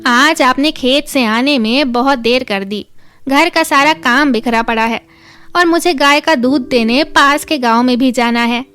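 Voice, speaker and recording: A woman's voice: sits high, unhurried, a bit bright, clean tone, soft background music. A woman voicing a practical, mildly nagging village milk-seller wife in a children's story, with a homely manner. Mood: chiding. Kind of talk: children's moral story dialogue.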